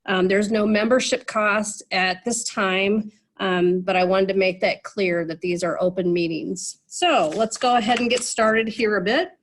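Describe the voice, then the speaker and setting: A woman's voice: medium pitch, unhurried, few pauses. A woman moderating an online meeting over a video call, sounding nasal from a cold, chatty with many fillers and restarts.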